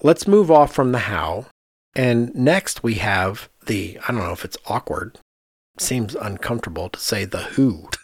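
A man, an architect hosting a podcast, chatting casually and telling animated anecdotes in which he quotes other people. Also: lowish voice, unhurried, slightly rough, dry recording, clean studio sound.

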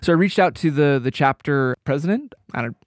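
A man's voice: fairly high pitch, expressive, fairly quick, dry recording, studio quality. Nasal-sounding man with a head cold. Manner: easygoing, laughs often, restarts words. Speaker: podcast co-host. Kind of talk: casual chat.

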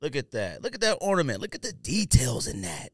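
A man's voice: mid-range voice, wildly swooping pitch, fairly quick, dry recording, clean studio sound. A man co-hosting a podcast in casual banter, talking in a slangy, joking, rambling way. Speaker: podcast co-host.

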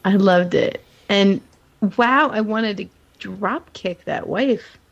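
A woman's voice: medium pitch, slow, vocal fry. A giggly woman co-hosting a podcast and chatting casually about television, on slightly dull lo-fi audio. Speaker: podcast co-host. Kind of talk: casual TV episode discussion.

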